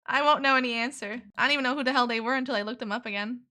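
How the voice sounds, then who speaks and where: A woman's voice: a little high, very fast, bright, forceful tone. A woman streamer chatting casually with her viewers, bubbly and giggly, with a sore throat. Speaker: VTuber streamer. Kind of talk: casual live-stream chat.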